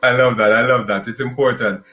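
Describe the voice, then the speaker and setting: A man's voice: medium pitch, steady tone, fairly quick, pushed and bright, soft background music. A man hosts a podcast interview, his questions winding along with frequent restarts and repeated words. His voice sits in a middle range, and the recording carries a noticeable echo from the room. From Jamaica. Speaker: podcast host.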